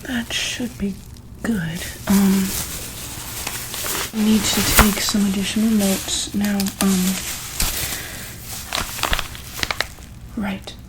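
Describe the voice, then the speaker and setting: A woman playing a calm, caring monster-hospital doctor in an ASMR roleplay, speaking softly and hesitantly in a breathy whisper. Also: medium pitch, takes it slowly, noisy background, close to an everyday voice. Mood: calm, attentive. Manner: hesitant, gentle, soft-spoken.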